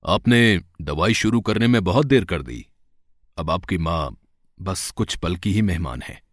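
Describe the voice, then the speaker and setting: A man's voice: sits low, lively intonation, measured pace, dry recording, clean studio sound. A man playing a sombre doctor in a moral story, speaking in a grave, subdued manner. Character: grave male doctor breaking bad news, sombre.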